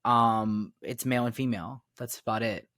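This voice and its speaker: A man's voice: medium pitch, fairly even intonation, normal speed, fairly bright tone, no room sound, studio quality. A young man raised in Miami, a podcast guest talking casually and rambling with many fillers and repeated phrases. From Florida, raised in Miami. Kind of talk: casual conversation.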